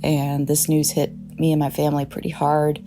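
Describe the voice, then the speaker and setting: A woman giving a listener message in a sober, steady way. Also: low-pitched, moderate pace, over music.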